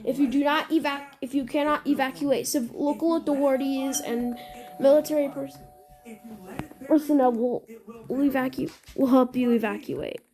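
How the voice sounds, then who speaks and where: A woman narrating a mock emergency alert scenario, halting and sometimes repeating or stumbling over her words. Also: high-pitched, steady tone, a little mellow. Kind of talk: scripted emergency announcement with ad-libbed reactions.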